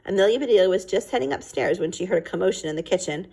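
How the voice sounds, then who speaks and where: Lady reading a kids book out loud, lowish husky voice, really animated. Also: rapid-fire, low-quality recording. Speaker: storyteller reading a children's book aloud. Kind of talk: expressive read-aloud narration.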